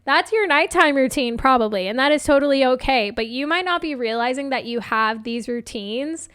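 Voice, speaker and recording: A young adult woman hosting a solo coaching podcast, chatty and upbeat with lots of fillers, her voice a bit hoarse. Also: high-pitched, expressive, normal speed, a bit bright, no echo, clean studio sound. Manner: chatty, upbeat, laughs often, many fillers. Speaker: podcast host and life coach. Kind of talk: casual solo podcast talk.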